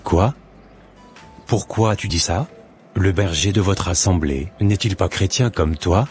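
A man playing a calm, questioning middle-aged husband in a cartoon, with casual delivery. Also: sits low, unhurried. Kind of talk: scripted cartoon dialogue. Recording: music under the voice.

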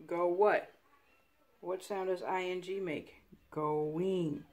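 A woman's voice: fairly low pitch, fairly even intonation, slow, a little mellow. Playful woman vlogging at home. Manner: chatty, playful, teasing. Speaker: family vlogger. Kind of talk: casual home vlog chat. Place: home staircase, indoors. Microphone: phone camera at arm's length.

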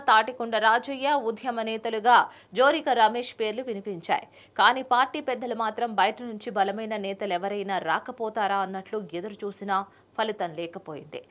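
High-voiced young woman newsreader. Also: a bit bright. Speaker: news presenter. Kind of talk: scripted news narration.

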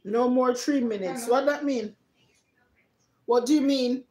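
A woman's voice: fairly high pitch, measured pace, a little mellow. A middle-aged woman with a Jamaican accent casually hosting a live stream and reading out viewers' comments. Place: living room, indoors. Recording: roomy echo of a living room.

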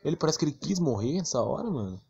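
A Brazilian man talks quickly through casual game commentary in a husky voice. He is blunt and slangy, swearing mildly as he goes. The sound is dull and lo-fi. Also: on the high side, sing-song, mellow. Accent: Brazilian Portuguese. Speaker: gameplay streamer.

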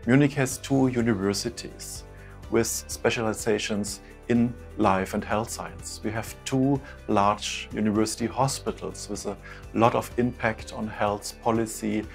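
A man in his sixties, a professor, explaining his program in a measured promotional interview on a lapel mic. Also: lowish voice, gravelly. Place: indoor studio, plain backdrop.

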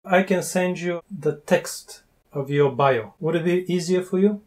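A slightly high-voiced man from Bulgaria in his forties, talking casually over a wired earphone mic in a small room. He teases his tutor and stops to hunt for the right word. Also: a little mellow. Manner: playful, teasing, pauses to search for words. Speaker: language learner interviewing a tutor. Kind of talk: casual video-call chat. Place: small room indoors with wooden doors. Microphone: wired earphone mic on a video call.

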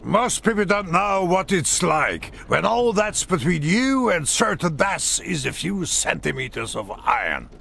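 An actor playing a gruff, weary veteran military commander with a gravelly, husky voice in a video game. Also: male voice, high voice, big pitch swings, a little slow, hardly any pauses, pushed and bright, exaggerated voice. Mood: somber. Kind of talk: video game cutscene acting.

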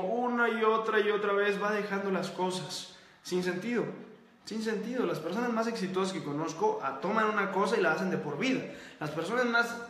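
A man's voice: sits high, fairly bright tone, echoey room. A man hosting a live motivational talk, emphatic and repetitive, ticking off long lists and addressing the audience directly. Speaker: host.

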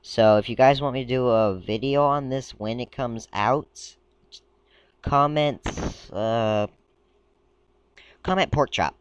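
A man casually commenting while browsing a game page, talking in a rambling, halting way and stumbling as he reads aloud, a little sick. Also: mid-range voice, smooth, no room sound, muffled recording. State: a bit sick. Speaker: gaming video creator. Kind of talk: casual screen-recording commentary.

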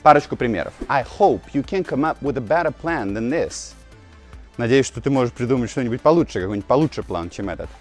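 A man in his thirties, a native Russian speaker, giving an informal English lesson to camera outdoors and slowly repeating key phrases. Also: medium pitch, expressive, faint music behind. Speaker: English teacher, video host. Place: outdoors on a narrow old-town street. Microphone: lapel mic.

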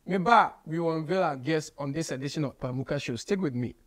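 Casting: Ghanaian man, high voice, emphatic entertainment show host, exclamatory delivery. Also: fairly quick. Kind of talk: lively entertainment interview, mixing English and Twi. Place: small indoor studio, seated at a desk.

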